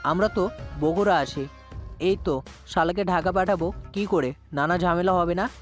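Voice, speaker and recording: A man's voice: high-pitched, moderate pace, faint music behind, natural voice. Man acting a deferential, questioning gang sidekick. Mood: curious, deferential. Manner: deferential, inquisitive.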